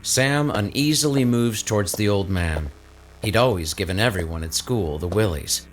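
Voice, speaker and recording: A man's voice: on the low side, animated, moderate pace, slight background noise. A man reading scripted narration for an audio drama. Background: faint music.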